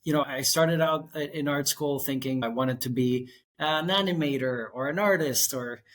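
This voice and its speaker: A man in his thirties from Costa Rica, an architect guest telling his career story in a relaxed, winding way. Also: medium pitch, moderate pace. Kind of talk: conversational interview.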